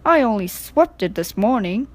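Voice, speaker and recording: A woman's voice: medium pitch, big pitch swings, moderate pace, close to an everyday voice. A woman voicing a grumbling, protesting male train guard in a children's storybook.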